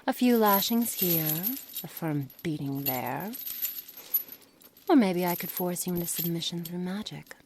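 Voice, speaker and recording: A low, menacing witch acted by a woman speaks in a deliberate, formal ASMR monologue. She is cold, curious and condescending toward her captive, often sinking to a breathy near-whisper. Faint ambient noise sits behind the voice. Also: darkish tone. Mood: menacing, taunting. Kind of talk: ASMR roleplay, scripted dramatic monologue. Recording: noisy background ambience.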